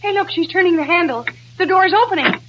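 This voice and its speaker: A pert, very high-voiced young actress. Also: female voice, quick pace, noisy background. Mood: perky. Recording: dull old broadcast recording.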